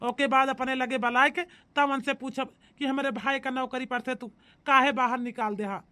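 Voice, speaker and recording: Performer playing a protective married man, cartoon comedy, Awadhi accent, high-pitched voice, emphatic, firm. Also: steady tone, normal speed, bright, forceful tone, no echo. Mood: reassuring. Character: protective married man, elder brother, firm problem-solver.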